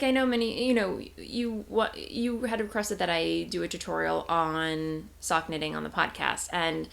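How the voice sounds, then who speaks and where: A woman's voice: on the low side, gravelly. A young woman hosting a knitting video podcast, chatting casually and rambling with self-corrections and small laughs. She sounds congested and a bit nasal, and tired.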